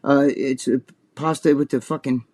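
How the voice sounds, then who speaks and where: An older man with a New Jersey accent, telling a story on a casual podcast. He swears often and acts out quoted lines in a raised voice. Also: moderate pace. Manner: animated, profane, acts out quotes in a high voice. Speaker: podcast co-host.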